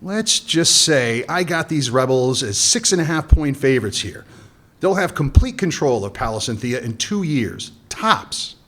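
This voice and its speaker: A man's voice: mid-range voice, big pitch swings, unhurried, fairly bright tone. An actor playing a cocky, scheming male smuggler boss with a sardonic, wisecracking delivery in a comic radio play. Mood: wry.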